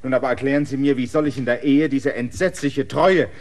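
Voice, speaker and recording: A stubborn land surveyor who presses his case with insistent, emphatic defiance, as an actor plays him in a radio play. His voice sits at a mid pitch. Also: fairly quick, a little mellow, natural voice.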